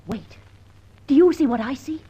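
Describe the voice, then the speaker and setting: A woman's voice: sits high, moderate pace, mellow, natural voice. An actress playing an eager, observant British woman, a sleuth's easily exasperated accomplice, bantering insistently in an old-time radio detective drama. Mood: intrigued, triumphant. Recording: dull old radio recording.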